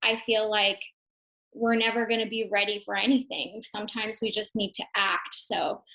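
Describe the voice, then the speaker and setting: A woman's voice: mid-range voice, little pitch movement, normal speed, bright, forceful tone, low-quality recording. A young woman, a mother and online business owner, telling her story in a casual, reflective way. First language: English. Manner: casual, reflective, many fillers and restarts. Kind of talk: personal testimonial talk.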